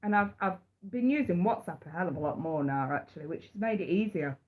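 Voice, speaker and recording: A woman's voice: fairly low pitch, lively intonation, quick pace, darkish tone. A woman with a head cold, chatting on a live stream and giving tarot readings. She talks in a chatty way, repeats words and calls her viewers sweetheart and darling. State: congested with a cold. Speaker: tarot reader.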